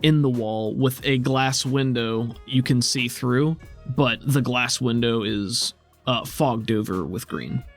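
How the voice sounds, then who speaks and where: Male game master with an average-pitched voice, describing scenes in a measured, explanatory way, music underneath. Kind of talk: improvised tabletop game narration. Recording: music behind the voice.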